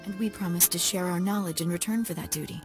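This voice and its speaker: A woman's voice: on the low side, quick pace, music in the background, lightly acted. An actress playing an earnest, grateful native woman leader in a game cutscene. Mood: formal.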